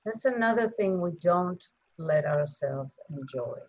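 A woman leading a guided meditation in a gentle, soothing manner, leaving long pauses between short phrases. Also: fairly low pitch, expressive, poor audio.

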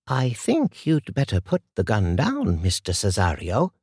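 A man voicing a calm, commanding older woman who speaks with measured politeness. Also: rumbling low, breathy edge, dry recording, studio quality, full character voice. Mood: calm warning.

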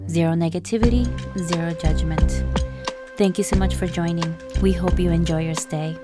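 A young woman born in Peru, hosting a solo podcast in a calm, measured and reflective way. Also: lowish voice, loud music behind the voice. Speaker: podcast host. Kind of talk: scripted solo monologue.

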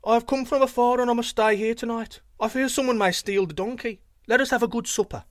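A man reading a story, voicing a cunning young thief in a raised, lively voice. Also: extremely high pitch, fairly quick, dry recording, studio quality, voice pushed up. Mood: loud, friendly. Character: cunning young male thief, brisk and scheming.

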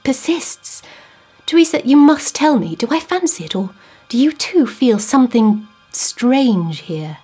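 A woman reading the part of a troubled, grieving widower in an audiobook ghost story, anxious and earnest, sometimes dropping to a hush. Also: fairly high pitch, lively intonation, normal speed, husky, faint music behind. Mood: uneasy. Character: troubled grieving widower, man, middle-aged, uneasy.

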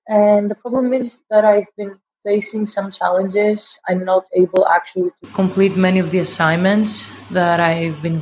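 A woman's voice: mid-range voice, darkish tone. A young woman from Greece with a Greek accent, a caller speaking carefully and hesitantly in her second language. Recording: lo-fi voice message.

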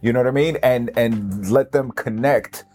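Male host, mid-pitched, affirming. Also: normal speed, darkish tone, faint music behind. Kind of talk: casual interview.

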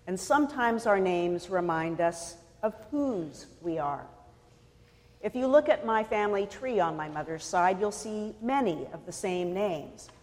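Woman preaching in a reverberant church. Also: medium pitch. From California. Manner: measured, deliberate delivery with pauses for emphasis. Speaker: pastor. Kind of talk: sermon.

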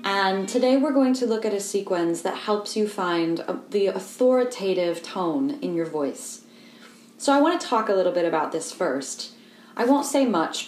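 A woman voice coach giving a calm, explanatory lesson and guiding the listener through simple humming exercises. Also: medium pitch, echoey room. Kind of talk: instructional voice lesson.

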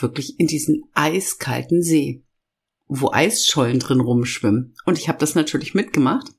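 A middle-aged woman hosting a self-help podcast, her voice hoarse from a cold, speaking slowly and emphatically as she lists feelings and addresses the listener directly. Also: low-pitched. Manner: slow, emphatic listing of feelings. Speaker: podcast host and inner-child coach. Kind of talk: warm guided podcast talk.

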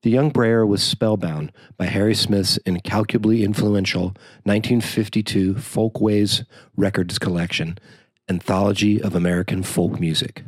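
Male narrator for a written arts profile. Low register, dry close sound. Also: fairly even intonation, moderate pace, no echo. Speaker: journalist narrator.

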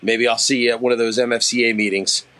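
A man's voice: medium pitch, level tone, fast. A middle-aged man with a Philadelphia accent, leaving a folksy, self-deprecating voice message for a podcast. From Philadelphia area. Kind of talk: recorded voice message.

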